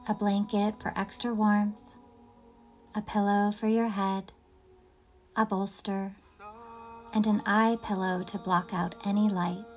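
A woman leading a guided yoga nidra meditation in a gentle, soothing, unhurried manner with long pauses. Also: mid-range voice, little pitch movement, soft background music.

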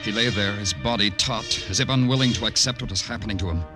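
Hard-boiled radio detective, male actor: very low voice, terse and world-weary, noir delivery, prominent music behind. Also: natural voice. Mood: brooding, somber. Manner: terse, deliberate, brooding. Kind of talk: 1950s radio noir acting and narration.

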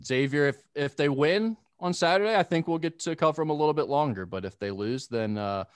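A man's voice: a little high, expressive, a little fast, clean tone, no room sound, clean studio sound. A man who calls games, guesting on a casual podcast, talking in a rambling, self-deprecating way. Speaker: sports broadcaster guest.

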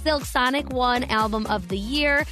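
A woman's voice: sits high, measured pace, bright, forceful tone, soft background music. A woman co-hosting a morning radio show, reading trending entertainment news and chatting about it. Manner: chatty, reads headlines then riffs.

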